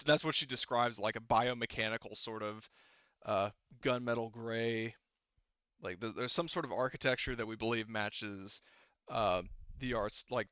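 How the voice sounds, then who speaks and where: A man running a tabletop roleplaying game as game master, narrating off the cuff in a casual, halting, rambling way. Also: medium pitch, sharp tone, no echo, lo-fi sound.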